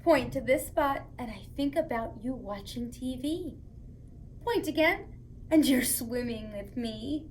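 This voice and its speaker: A woman hosting story time for young children, reading aloud and chatting to them in a playful, expressive way. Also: high voice, a little slow, a little hiss or hum.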